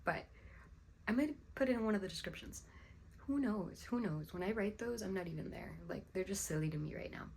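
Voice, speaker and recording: A young woman, a little hoarse, musing aloud in a casual vlog and clearing her throat often. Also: mid-range voice, expressive, brisk, a little mellow, slight background noise. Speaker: vlogger. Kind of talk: casual reflective vlog talk.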